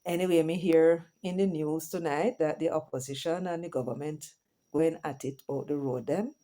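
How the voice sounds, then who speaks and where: Jamaican woman, middle-aged, low voice, mellow tone, chatty patois commentary. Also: moderate pace. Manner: chatty, mixes patois and English, lively asides to viewers. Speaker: vlogger host. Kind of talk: casual commentary monologue.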